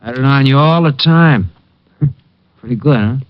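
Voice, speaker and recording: An actor playing a dim-witted thug who talks in a dopey, blunt way, in 1950s radio drama style. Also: male voice, mid-range voice, measured pace, close to an everyday voice. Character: dim-witted hulking male thug, slow. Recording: dull lo-fi old radio broadcast.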